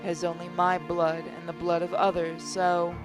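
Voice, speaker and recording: Measured narrator with flat intonation. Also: mid-range voice, poor audio. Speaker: gaming channel narrator. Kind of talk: reading visual novel narration aloud. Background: game music.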